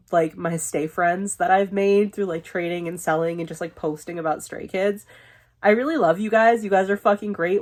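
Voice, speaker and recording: A young woman vlogging casually from her bedroom, rambling with lots of fillers and swearing, sniffly and losing her voice. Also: lowish voice. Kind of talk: casual chat to camera. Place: bedroom, window open.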